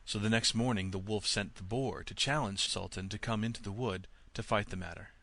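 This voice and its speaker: A man reading a scripted fairy tale as an audiobook narrator, in an expressive, measured storytelling manner. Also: fairly low pitch, gravelly.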